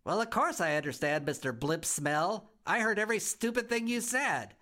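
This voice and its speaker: A man doing a loud, mocking girl bug. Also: sits high, expressive, normal speed, pitched above the performer's own voice. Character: loud rude giggling young girl bug.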